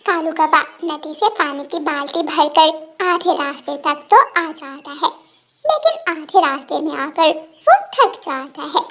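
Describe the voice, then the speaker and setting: A woman's voice: high-pitched, a bit bright. A woman narrating a scripted children's story.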